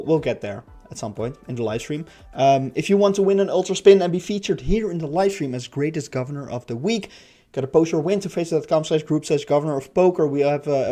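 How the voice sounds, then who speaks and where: A man hosts a game livestream with a raspy, stuffy-nosed voice. His tone is somewhat high but mellow, and he rambles along with plenty of fillers. Faint music plays underneath. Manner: rambling, many fillers, light laughs. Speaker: livestream host. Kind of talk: casual livestream chat.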